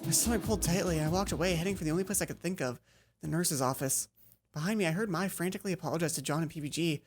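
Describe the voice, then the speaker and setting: A woman's voice: sits low, rapid-fire, breathy edge, faint music behind. A woman hosting a let's play, reading a visual novel aloud and acting out dialogue, with casual asides.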